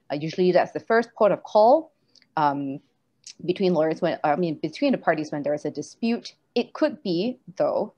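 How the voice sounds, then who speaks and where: A woman lawyer giving a steady explanatory presentation over a video call. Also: sits low. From Singapore. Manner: explanatory, steady, many fillers. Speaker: lawyer, panelist. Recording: dull video-call audio.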